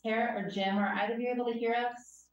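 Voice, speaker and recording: A woman's voice: a little high, steady tone, quick pace. A woman chairing a public commission meeting, upbeat and practical, with frequent um and uh fillers. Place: council meeting room. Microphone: distant room microphone. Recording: echoey muffled room from far off.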